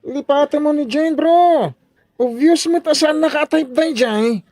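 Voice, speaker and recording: A man's voice: very high-pitched, measured pace, darkish tone, no echo, clean studio sound. A man playing a teasing office friend in a drama serial, with an Ilocano Filipino accent. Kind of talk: Ilocano drama series voice acting.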